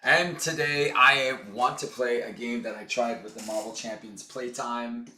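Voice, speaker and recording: A hoarse man chatting casually as he plays through a card game for his channel, upbeat and full of fillers. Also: medium pitch, steady tone, measured pace, pushed and bright, echoey room. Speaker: board game channel host. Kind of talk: casual tabletop game playthrough.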